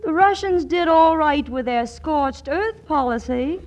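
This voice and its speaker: Coy, high-pitched girlish radio ingenue. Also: lively intonation, unhurried, darkish tone, lo-fi sound. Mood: wry. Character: grown woman posing as coy innocent little girl. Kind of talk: 1940s radio comedy acting. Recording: old radio broadcast with faint noise.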